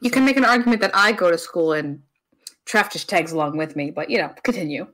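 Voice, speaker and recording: A woman's voice: on the low side, fast, a bit bright. A woman playing a smug, brainy student sidekick with a dry, teasing manner in an improvised audio drama. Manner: dry, teasing, matter-of-fact. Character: smug, brainy female student, sardonic know-it-all sidekick. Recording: faint music behind the voice.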